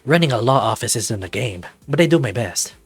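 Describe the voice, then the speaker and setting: A man's voice: medium pitch, lively intonation, brisk, breathy edge, soft background music. A man voicing a proud, self-assured dragon lawyer in a visual novel game, in a composed manner. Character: suave, proud male dragon lawyer in a suit.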